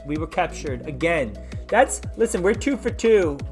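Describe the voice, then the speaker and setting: A man with a fairly high voice reads a story aloud as host. He is informal and drops chatty asides to his listeners. Faint music plays behind him. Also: very animated, normal speed. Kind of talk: casual read-aloud of an interactive book.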